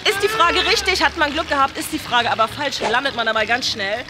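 A woman's voice: high voice, brisk, background noise. A young woman casually presenting a challenge to camera and bantering with a group in a large indoor pool hall. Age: young adult. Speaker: host and quizmaster of a challenge video.

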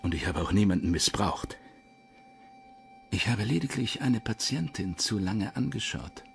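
A man's voice: fairly low pitch, animated, measured pace, vocal fry, slight background noise. A man playing an aggrieved, self-justifying middle-aged doctor under questioning in a German crime radio play. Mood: defensive, indignant. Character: defensive, indignant middle-aged male doctor suspect.

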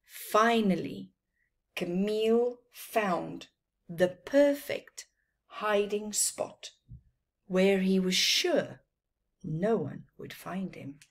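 A middle-aged woman reading a children's storybook aloud with expressive, dramatic phrasing and emphasised words. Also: mid-range voice, drawn-out words. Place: small plain room indoors.

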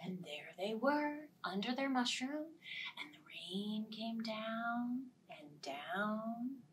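A woman in her fifties telling a story to young children as a storytime host, expressively, dropping into hushed phrases. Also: fairly high pitch, animated, slow pace, far from the mic, a little hiss or hum. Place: small indoor storytime set.

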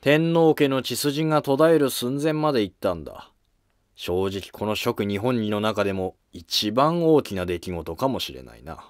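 Man playing a dry, measured court scholar. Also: lowish voice, lively intonation, no room sound, close to an everyday voice. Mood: matter-of-fact. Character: dry, driven male court scholar compiling a history. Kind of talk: scripted historical drama dialogue.

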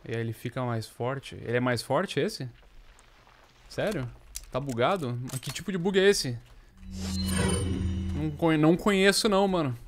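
A man's voice: fairly high pitch, expressive, moderate pace, long pauses, soft background music. A Brazilian man in his thirties giving casual, slangy, exclamatory commentary as he plays a video game. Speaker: gaming streamer. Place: small indoor room. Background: video game soundtrack and effects.

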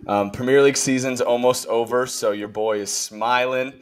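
Flat-voiced laid-back male co-host. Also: medium pitch, normal speed. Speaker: podcast co-host. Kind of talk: casual podcast chat.

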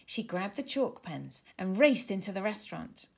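A woman reading a children's storybook aloud in an expressive, deliberate way. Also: mid-range voice, creaky, breathy edge. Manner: animated, deliberate. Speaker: author reading own storybook.

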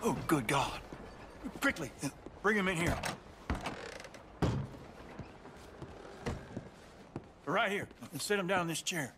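An actor playing a brisk, matter-of-fact older town doctor in a western video game, with a husky voice. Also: male voice, high voice, expressive, background noise. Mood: urgent. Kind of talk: video game cutscene acting.

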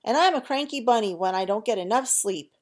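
A woman's voice: a little high, lively intonation, fairly quick. A woman voicing a grumpy young rabbit in a storybook read-aloud, complaining and grumbling. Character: grumpy young rabbit who loves winter.

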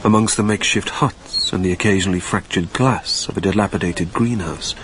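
A man playing a weary dead man who narrates his own haunting in a reflective, measured, lyrical manner in an audio drama. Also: low-pitched, fairly bright tone, slight background noise. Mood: melancholy, reflective.

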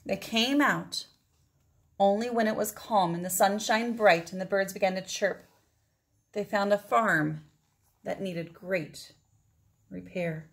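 A middle-aged woman reads a children's storybook aloud, with dramatic phrasing and drawn-out words for emphasis. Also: mid-range voice, gravelly. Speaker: storybook reader. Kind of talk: expressive read-aloud of a children's picture book.

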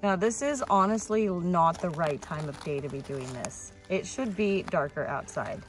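A woman's voice: on the low side, lively intonation, normal speed, soft background music. A woman in her thirties with an American accent, talking in an easygoing, colloquial way in a casual outdoor vlog. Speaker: rock-hunting vlogger. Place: outdoors on a pebbly beach.

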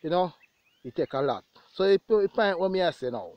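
A man's voice: sits high, lively intonation, fairly quick, no echo. Lo-fi muffled audio, dry sound, Jamaican man, casual farm vlog, conversational, repeated phrases. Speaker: goat farmer and vlogger. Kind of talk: casual vlog talk to viewers. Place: outdoors in an open grassy pasture.

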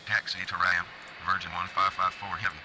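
A game's airline pilot, voiced by a man, reads back clearances quickly and flatly. His voice sits a little low, with steady noise behind it. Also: natural voice. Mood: brisk. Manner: quick, clipped radio readbacks. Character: airline pilot on the radio, brisk. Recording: noisy game radio audio.

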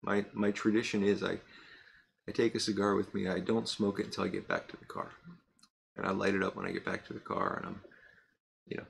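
A middle-aged man chatting casually to camera in a cluttered workshop, rambling with fillers and pausing to puff on a pipe. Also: deep voice, vocal fry, slight background noise. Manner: relaxed and rambling, many fillers and restarts; pauses to puff on a pipe while talking. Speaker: pipe-smoking vlogger. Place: cluttered workshop room, indoors.

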